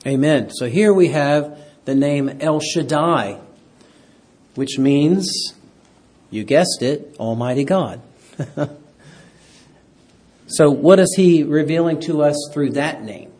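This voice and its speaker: A man's voice: on the high side, takes it slowly, long pauses, a little mellow, breathy edge. A man teaching a Bible class who keeps quizzing his listeners and asking them for an amen. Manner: quizzes the class, asks for amens. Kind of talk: interactive Bible lesson.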